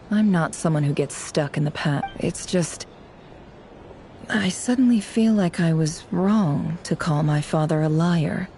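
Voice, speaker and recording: A woman voicing a guarded, bitter young spy who speaks in a cool, measured, brooding way in video game dialogue. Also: deep voice, mellow, slight background noise.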